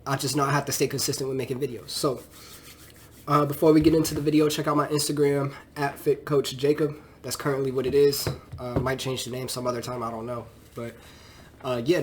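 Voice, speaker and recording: A man's voice: medium pitch, a little hiss or hum. A man in his twenties, a fitness trainer, talking casually and rambling in a vlog with a stuffy nose from a cold, full of loose fillers. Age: 20s. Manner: loose and chatty, with many fillers like "you know".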